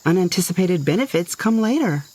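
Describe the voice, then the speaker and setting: A woman playing a confident space superheroine who speaks in a calm, knowing, mentor-like way. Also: fairly low pitch, brisk. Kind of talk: scripted audio drama acting.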